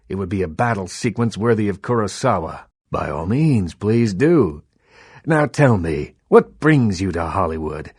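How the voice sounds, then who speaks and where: An actor playing an urbane, sardonic vampire baron in a video game, who talks in a quietly threatening way. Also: male voice, lowish voice, no room sound, studio quality. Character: old vampire baron, suave, menacing film buff.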